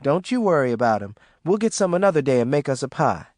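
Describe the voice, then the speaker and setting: Man voicing a fearless boastful matriarch, emphatic, raised pitch, lively. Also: quick pace, dry recording, studio quality. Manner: bold, emphatic dialect speech. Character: fearless tough older Southern woman, boastful.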